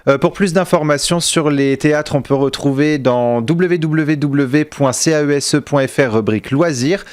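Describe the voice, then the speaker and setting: A man hosting a radio interview, putting his questions in a halting way with repeated words. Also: fairly high pitch, no echo, clean studio sound.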